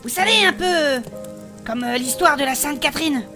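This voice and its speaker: A woman playing a spirited female blacksmith adventurer, recounting her past in animated, colloquial in a fantasy audio drama. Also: high-pitched, normal speed, soft background music. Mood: lively storytelling. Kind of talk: French fantasy audio fiction acting.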